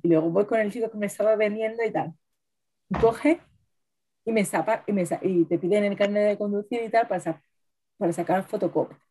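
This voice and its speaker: A woman's voice: medium pitch, a little fast, dark tone, husky. A woman co-hosting a podcast, telling stories in a chatty way and often tagging on "¿no?". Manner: chatty, keeps adding "¿no?". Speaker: podcast co-host. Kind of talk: casual chat over wine.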